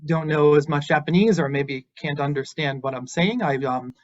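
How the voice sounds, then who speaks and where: Guy giving an online lecture, bit high voice, earnest and encouraging, kinda muffled audio. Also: normal speed. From Boston, United States. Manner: earnest and encouraging, with some fillers. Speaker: university lecturer.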